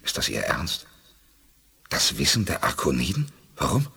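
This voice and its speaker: A man's voice: rumbling low, huge pitch swings, normal speed, creaky, pushed and bright, husky, exaggerated voice. An actor playing a sober, sceptical spaceman hero in a 1980s German sci-fi radio play, thoughtful and questioning. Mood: curious, pondering.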